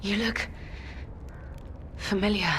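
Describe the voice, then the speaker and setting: An actress playing a guarded, wistful, soft-spoken woman in a dramatic video game, with measured, hesitant pauses. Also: mid-range voice, fairly even intonation, slight background noise.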